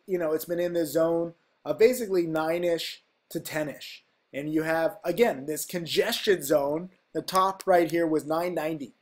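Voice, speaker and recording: A man's voice: sits high, a little mellow. A man with a stuffy, congested nose talking casually and emphatically through stock charts, tossing in "okay?" as he goes. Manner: rambling, emphatic, fillers like "okay?". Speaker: stock trading educator. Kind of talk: casual screen-share chart walkthrough.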